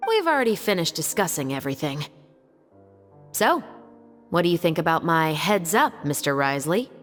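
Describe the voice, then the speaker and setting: Guarded patrol captain, terse, lively pitch, long reverb. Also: female voice, normal speed. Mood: cool. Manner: terse, understated. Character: adult female patrol captain, cool and guarded. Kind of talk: video game voice acting. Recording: game audio, reverb and music.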